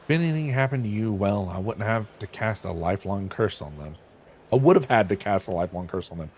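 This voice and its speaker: A man's voice: lowish voice, lively intonation, fairly quick, a little mellow, a little hiss or hum. A young man streaming a game, reading the dialogue aloud and chatting in a loose, self-mocking way.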